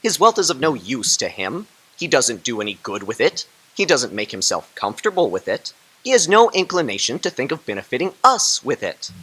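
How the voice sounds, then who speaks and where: A man's voice: mid-range voice, big pitch swings, a little fast, slightly rough, full character voice, poor audio. A man playing jovial young nephew Fred. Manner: hearty, laughing, warm-hearted. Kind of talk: radio drama acting.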